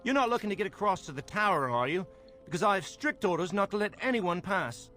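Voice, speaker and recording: A man's voice: high voice, expressive, fast talker, a bit bright, faint music behind. A man playing an officious, smug young templar guard in a fantasy game, blustering and sarcastic. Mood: officious, mocking.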